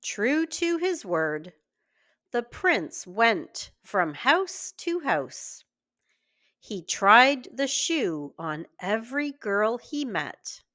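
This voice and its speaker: Woman, children's read-aloud narration, very unhurried pace, lively pitch swings, deliberate and expressive. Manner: slow, deliberate, expressive delivery. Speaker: children's story reader and teacher. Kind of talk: scripted read-aloud storytelling for young children.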